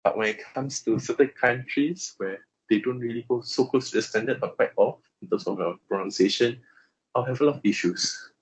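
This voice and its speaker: A man's voice: mid-range voice, fairly quick, slightly rough, poor audio. A young Malaysian man with a Malaysian accent, answering interview questions casually and hesitantly, with many fillers and restarts.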